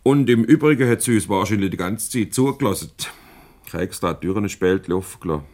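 A man acting a shrewd boss who plays mediator in a 1970s Swiss German radio comedy. His voice sits low. He probes and teases with wry, avuncular ease. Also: animated, quick pace, darkish tone, natural voice. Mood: encouraging. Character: shrewd, teasing boss mediating a couple's quarrel.

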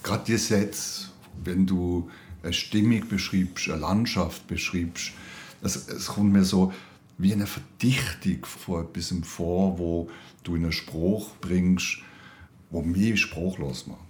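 A man's voice: low voice, fairly even intonation, takes it slowly. A man asking questions conversationally as an interviewer. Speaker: interviewer, radio maker.

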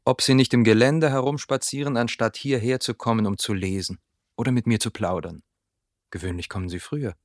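A young actor dubbing a polite, formal young man gently courting a woman. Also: medium pitch, fairly quick, no echo, studio quality, natural voice. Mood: courteous. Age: young adult. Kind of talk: film dub.

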